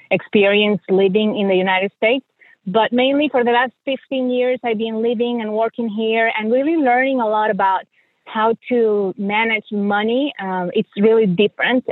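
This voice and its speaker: A woman's voice: mid-range voice, steady tone, normal speed, dry recording, phone-quality sound. A middle-aged woman from Mexico with a Mexican accent, a native Spanish speaker, telling her story conversationally as a podcast guest. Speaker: podcast guest, financial coach and journalist.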